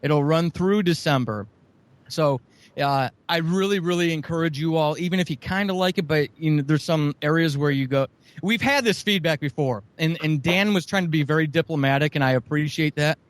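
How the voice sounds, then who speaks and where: Earnest, effusive man with a higher-pitched voice. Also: a little fast, no room sound. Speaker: guest, audio drama producer. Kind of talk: enthusiastic interview talk, promoting shows.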